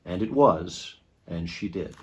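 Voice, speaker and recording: A man reading a children's picture book aloud in an expressive storytelling style. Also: deep voice, slow.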